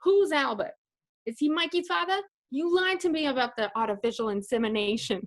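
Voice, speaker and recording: A woman playing a soft-hearted, blunt, overgrown kid of a man in a comedic radio play. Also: sits high, expressive, a little fast. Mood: accusing.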